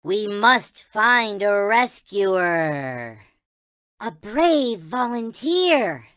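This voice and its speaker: A man's voice: high-pitched, very animated, drawn-out words, bright, forceful tone, smooth, dry recording, studio quality, heavily acted. A man voicing a tiny, childlike alien toy in a video game, speaking with reverent wonder in formal, chant-like phrases. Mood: solemn wonder. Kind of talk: video game character voice clips.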